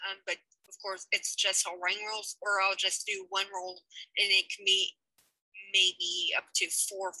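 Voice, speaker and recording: A woman's voice: medium pitch, bright, forceful tone, no room sound, poor audio. A young woman in her twenties, a channel host making an earnest, rambling casual update from a small room on a headset mic. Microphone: headset mic on webcam.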